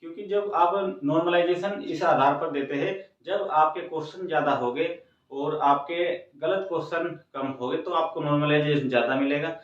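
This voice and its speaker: A man's voice: fairly high pitch, normal speed. A man giving an informal, instructive explainer to students, repeating phrases for emphasis. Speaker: exam coaching host. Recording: very echoey room, distant mic, dull sound.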